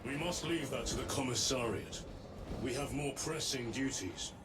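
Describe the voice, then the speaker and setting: An actor voicing a solemn, measured male space marine veteran. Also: medium pitch, noisy background, natural voice.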